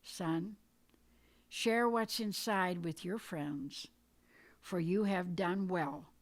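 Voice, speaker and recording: Woman reading as a slow, gentle holy man. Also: lowish voice. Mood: tender, grateful. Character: gentle, solemn holy man, kindly miracle worker. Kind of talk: poetic storybook reading.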